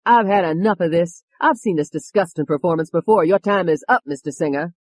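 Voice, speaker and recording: A woman's voice: fairly low pitch, slow pace, warm tone, no echo. Audiobook role: female narrator as an indignant woman judge, authoritative, clipped, barely holding back anger.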